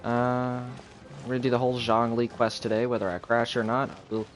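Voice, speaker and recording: A young adult man chatting casually on a live game stream in a rambling, halting, low-key way. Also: level tone. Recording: game music behind voice. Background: video game music.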